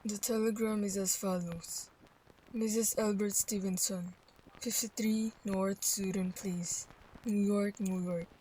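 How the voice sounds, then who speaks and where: A woman acting as an impassive telegram operator in a radio play, businesslike and monotone. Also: medium pitch, measured pace, a little hiss or hum, close to an everyday voice, low-quality recording.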